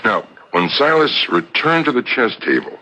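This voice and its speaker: An actor playing a shrewd, patient investigator in a 1970s radio mystery, probing with deliberate, folksy hesitations. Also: male voice, medium pitch, big pitch swings, pushed and bright, rough recording. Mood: cautious. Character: shrewd, patient male investigator piecing together a murder. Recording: old radio broadcast recording.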